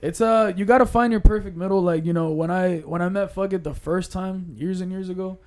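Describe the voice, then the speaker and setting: A man's voice: high-pitched, steady tone, a little fast, a little mellow. A man of twenty-four chatting casually as a podcast guest, with slangy, profane language. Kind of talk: casual chat.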